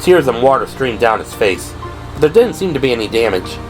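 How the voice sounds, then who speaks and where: A man's voice: medium pitch, very animated, fairly quick, poor audio. A man reading scripted third-person narration for an audio drama. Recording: music behind the voice.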